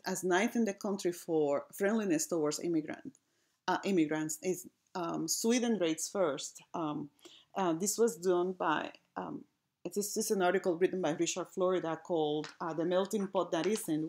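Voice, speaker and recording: A woman from gives a reflective presentation over slides, pausing often with ums and restarting her phrases. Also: lowish voice, darkish tone. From Puerto Rico. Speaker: design lecturer.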